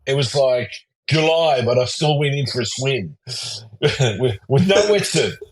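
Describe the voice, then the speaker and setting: A man in his sixties from Austria, a psychologist guest in an interview, telling his life story in a relaxed, rambling, self-deprecating way. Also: medium pitch, measured pace, slightly rough, poor audio.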